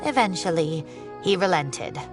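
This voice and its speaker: A woman's voice: fairly low pitch, animated, unhurried, over music. An actress playing a chatty, genteel older female professor in a video game, telling the story with gossipy exclamations. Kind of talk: game voice acting.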